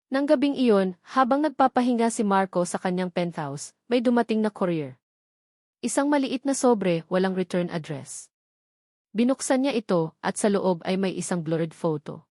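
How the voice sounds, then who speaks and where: A woman's voice: mid-range voice, a little mellow, no echo, studio quality. A woman with a Filipino accent reading a scripted romantic drama as a story narrator.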